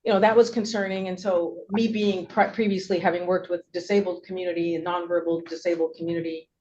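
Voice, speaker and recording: A middle-aged woman testifies in a very even, slightly low voice. She is wordy and hesitant, backing up to correct herself, and the courtroom recording is dull with some background hiss. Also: fast talker. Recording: dull, noisy courtroom audio.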